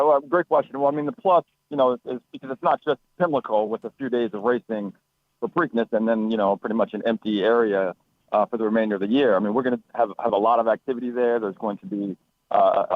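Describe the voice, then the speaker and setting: A man answering as a radio interview guest, conversational and halting with repeated words. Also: mid-range voice, fairly even intonation, no room sound. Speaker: racetrack authority executive, interview guest. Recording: thin, phone-like radio line.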